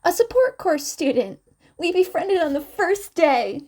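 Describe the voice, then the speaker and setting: Woman acting a gentle schoolboy, high voice, earnest, reassuring, animated, audio story character. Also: a little slow, full character voice. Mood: earnest explaining. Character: gentle, earnest teenage boy, soft-hearted student.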